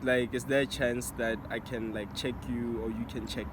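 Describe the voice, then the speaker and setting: A laid-back young man from recounts how he met his girlfriend. He talks in a relaxed drawl and goes quieter when quoting himself. Clear outdoor noise runs behind him. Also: mid-range voice, normal speed. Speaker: boyfriend, guest. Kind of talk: casual storytelling chat. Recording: noisy outdoor setting.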